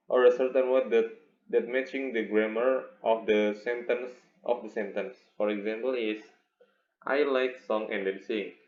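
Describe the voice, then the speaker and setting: A young Indonesian man with an Indonesian accent gives an instructional presentation as a student teacher, halting and often repeating words. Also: medium pitch, a little mellow. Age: young adult. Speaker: English education student presenting a teaching video. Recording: echoey room, muffled, faint noise.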